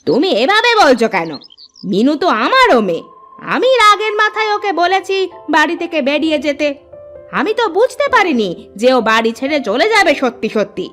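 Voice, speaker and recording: A woman's voice: high-pitched, very animated, normal speed, soft background music. A woman voicing a harsh, scheming stepmother in a cartoon, with a scolding manner. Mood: defensive. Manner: sharp, scolding. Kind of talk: cartoon dialogue acting.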